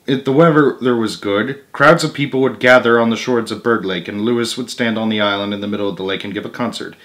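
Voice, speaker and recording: A young man with a mid-pitched voice reads a children's story aloud. His delivery is expressive storytelling, broken by casual asides. He is at home in a living room, picked up by a camera a little way off. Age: young adult.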